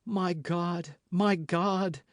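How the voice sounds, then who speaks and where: A man's voice: sits high, steady tone, extremely slow, no room sound, clean studio sound, pitched above the performer's own voice, exaggerated voice. A man voicing a scheming noblewoman who feigns pious, tearful meekness as she pleads. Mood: tearful pleading. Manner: pleading, sobbing, breathless. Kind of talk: audiobook character voice.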